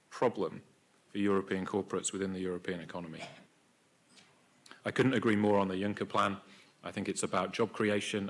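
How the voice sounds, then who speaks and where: A man giving firm, businesslike panel remarks. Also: deep voice. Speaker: conference speaker.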